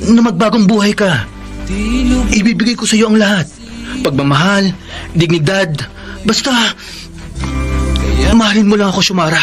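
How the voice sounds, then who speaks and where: A man's voice: sits high, moderate pace, long pauses, lightly acted. An actor playing a lovesick, jealous man in a Filipino radio drama, with an earnest, emotional delivery and a Filipino accent. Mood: pleading. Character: lovesick, jealous young man pleading with his beloved. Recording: dramatic music under the voice. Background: music.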